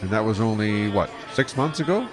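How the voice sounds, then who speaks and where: An actor playing a practical, profit-minded spaceship captain, talking in a casual, matter-of-fact way. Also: male voice, lowish voice, animated, a little fast, close to an everyday voice, low-quality recording. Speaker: spaceship pilot and narrator of his own story. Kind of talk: radio drama acting. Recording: dialogue over music.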